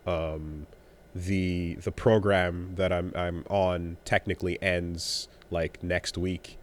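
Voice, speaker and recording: A man co-hosting a podcast in casual chat, rambling and halting with many restarts, a bit winded after being sick. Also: low voice, flat delivery, a little hiss or hum. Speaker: podcast co-host.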